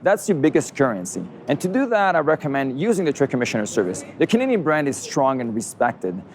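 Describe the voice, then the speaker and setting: A man from Chile, a senior trade commissioner, speaking in an earnest, measured interview tone. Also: medium pitch, very animated, darkish tone. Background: background music.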